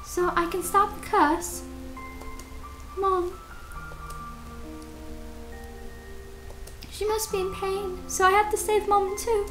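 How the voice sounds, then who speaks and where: A woman's voice: very high-pitched, little pitch movement, unhurried, a bit bright, echoey room, soft background music. A woman voicing an earnest, devoted young girl in a horror game, with a childlike, emotional delivery. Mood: worried. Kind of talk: dubbing dialogue of a horror game.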